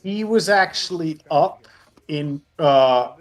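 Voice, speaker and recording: A man telling casual anecdotes as an interview guest on an online voice call, in a halting, stop-and-start way. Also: fairly high pitch, expressive, soft background music. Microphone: voice call on Discord.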